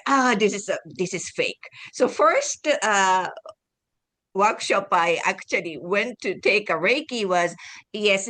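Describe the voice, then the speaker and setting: A woman from Japan speaking English with a Japanese accent, a guest telling her story in a halting way with many restarts. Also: mid-range voice, a bit bright. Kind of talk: casual interview talk.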